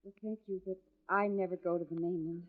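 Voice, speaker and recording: A woman's voice: on the low side, level tone, fairly quick, natural voice. An actress playing a lonely, sheltered and polite young woman in 1940s radio drama style. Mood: polite, hesitant. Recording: tinny narrow-band 1940s radio recording.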